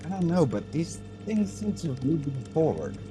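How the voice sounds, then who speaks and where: An actor playing an experienced, thoughtful male alien data center technician in an audio drama, explaining things in a measured way. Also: a little high, wildly swooping pitch, over music.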